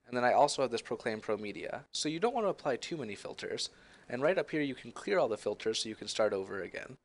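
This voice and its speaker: A young man presenting a screen-recorded software tutorial, explaining each step in a friendly, conversational way. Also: mid-range voice, big pitch swings, quick pace.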